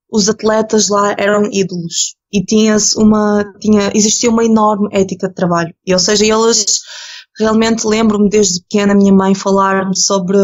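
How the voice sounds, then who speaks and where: A woman's voice: mid-range voice, muffled recording. A young woman born in Ukraine and raised in Portugal, speaking as an easygoing interview guest. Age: young adult. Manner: easygoing, laughs often, some false starts. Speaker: guest, competitive swimmer. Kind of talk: casual interview answers.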